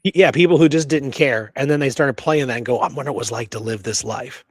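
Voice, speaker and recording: A man's voice: medium pitch, quick pace, no room sound, studio quality. A man chatting casually and enthusiastically as a co-host, peppering his talk with slangy, friendly words. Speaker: co-host of a history talk show. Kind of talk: casual chat.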